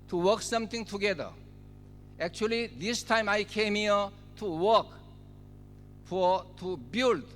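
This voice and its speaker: A man's voice: high-pitched, fairly bright tone, in a large hall, slight background noise. A man in his seventies from South Korea, speaking English with a Korean accent and giving a speech at a forum in short, emphatic phrases, repeating key words. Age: 70s. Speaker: guest speaker.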